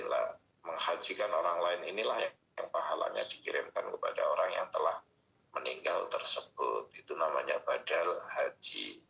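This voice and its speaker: Deep, gravelly male Islamic teacher. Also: pushed and bright. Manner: explanatory, repeats and lists points. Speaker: Islamic teacher answering listeners' questions. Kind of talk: religious question-and-answer study session. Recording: muffled radio-like audio, roomy echo.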